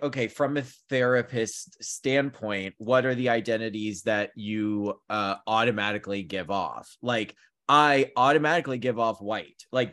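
Chatty thirty-year-old man podcasting. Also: fairly low pitch. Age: 30s. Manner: animated, chatty storytelling with many fillers. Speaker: podcast co-host; counseling student. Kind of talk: casual chat.

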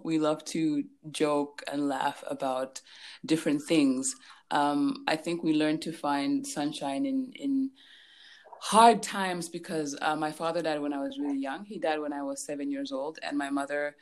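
A woman from Zambia, in her thirties, guest on an interview, speaking casually and laughing often, repeating words for emphasis. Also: low-pitched. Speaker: guest life coach.

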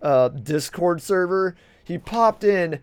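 Brief: male podcast host, loose and profane, slightly high voice, dry close sound. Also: animated, slow, clear, resonant tone, no echo. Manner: rambling, profane, stumbles and restarts often. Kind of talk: casual banter.

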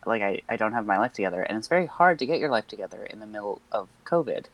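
A man's voice: lowish voice, expressive, fast talker, lo-fi sound. A young man co-hosting a podcast in casual chat, rambling with many fillers and nervous laughs. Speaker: podcast co-host.

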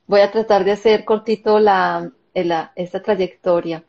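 A Colombian woman, a psychologist and therapist, telling her personal story in an interview, softly and reflectively with frequent pauses. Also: medium pitch, fairly even intonation, gravelly, muffled recording. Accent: Colombian Spanish. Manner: soft, reflective, with hesitant fillers.

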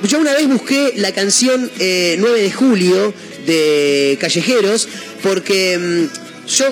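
A man with an Argentine accent hosting a casual radio show from a studio, chatting and reading listener messages, with a halting manner full of fillers and self-corrections. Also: high voice, soft background music. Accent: Argentine Spanish. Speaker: radio host.

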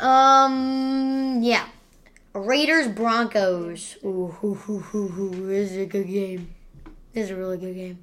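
A woman hosting a casual sports podcast, talking loudly and excitably and teasing her guest. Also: medium pitch, slow pace. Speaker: podcast host. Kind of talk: casual sports picks chat.

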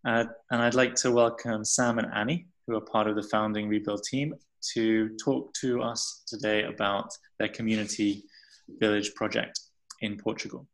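A male podcast host introducing guests and asking questions in a deliberate way. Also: fairly low pitch, fairly even intonation, rough recording. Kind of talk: interview introduction.

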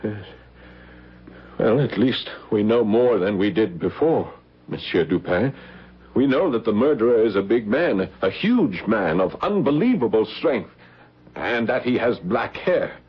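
Husky, earnest detective's helper. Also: male voice, fairly low pitch, sing-song, measured pace, heavily acted. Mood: relieved, earnest. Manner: earnest, deferential. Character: earnest, anxious Frenchman, admiring helper of a detective. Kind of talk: old-time radio drama acting. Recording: muffled old radio broadcast.

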